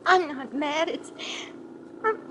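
A woman's voice: high-pitched, animated, slow pace, background noise. A woman playing a guarded, despairing fourteen-year-old orphan girl in a 1940s radio drama, sullen and plaintive.

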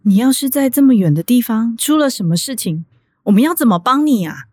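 A woman's voice: fairly high pitch, lively intonation, fairly quick, darkish tone, husky, dry recording, studio quality. An actress with a Taiwanese Mandarin accent playing a worried, pleading mother of a grown son in a radio drama. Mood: anxious.

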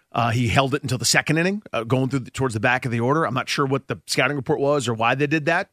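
A man's voice: medium pitch, a bit bright, no echo, clean studio sound. A man co-hosting a sports podcast, giving emphatic unscripted analysis and repeating points for emphasis.

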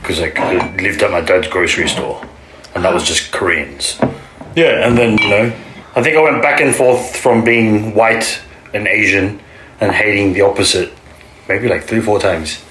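A man's voice: on the low side, normal speed, background noise. A man with an Australian accent, a podcast guest talking casually in short, laid-back replies. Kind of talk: casual conversation. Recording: echoey room.

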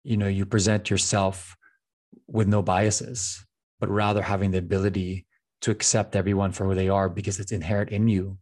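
Earnest low-voiced man from the Philippines. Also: little pitch movement, normal speed, darkish tone. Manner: earnest, searching, repeats words as he thinks. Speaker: guest. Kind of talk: reflective casual conversation.

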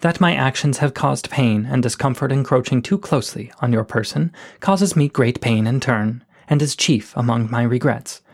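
A man's voice: medium pitch, moderate pace, gravelly, hardly any pauses. A man reading as a shy poetic coyote. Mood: contrite. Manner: gentle, eloquent, self-effacing. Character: shy, poetic adult male coyote suitor. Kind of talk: scripted reading of letters.

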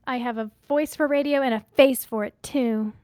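Woman podcast co-host, chatty and upbeat, fairly high voice, dry close sound. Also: steady tone, normal speed, a little mellow, dry recording. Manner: chatty, upbeat, self-joking. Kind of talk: casual chat.

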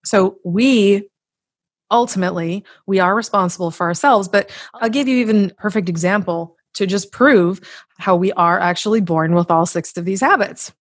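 A woman's voice: medium pitch, normal speed, dry recording. Emphatic woman giving motivational advice, close dry sound. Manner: emphatic, blunt, casually sweary. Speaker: podcast guest, author and coach. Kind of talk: conversational motivational advice with acted-out examples.

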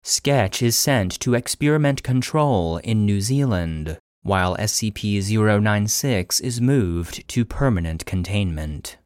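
A man playing an archive database that reads incident logs in an audio drama, formal, measured and impassive. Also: lowish voice, lively intonation, darkish tone, no echo. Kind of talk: scripted narration in an audio drama.

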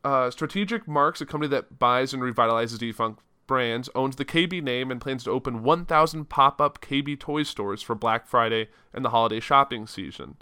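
A man's voice: mid-range voice, moderate pace, few pauses, pushed and bright, clean tone, dry recording, studio quality. A man from the Chicago suburbs hosting a solo news podcast, talking casually with a cold and stuffed-up sinuses. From Chicago suburbs, Illinois. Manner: casual, rambling, self-correcting. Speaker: podcast host.

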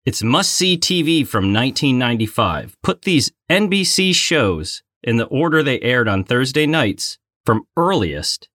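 A man's voice: medium pitch, sing-song, unhurried, hardly any pauses, pushed and bright, dry recording, clean studio sound. A man co-hosting a trivia game with casual banter and a playfully dramatic delivery. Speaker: trivia game show co-host and contestant.

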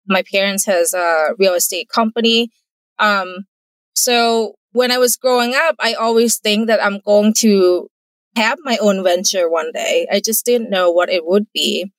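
A woman's voice: medium pitch, a little slow, no room sound, low-quality recording. Earnest Thai-accented woman entrepreneur. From Thailand. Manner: earnest, careful, laughs easily. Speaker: entrepreneur, guest. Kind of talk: casual interview answers.

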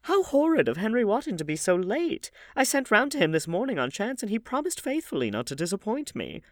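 A man voicing a lively, gossipy old aristocratic hostess in a theatrical, scolding, exclamatory way for an audiobook. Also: high voice, brisk, dry recording, clean studio sound, pitched above the performer's own voice. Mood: playfully indignant.

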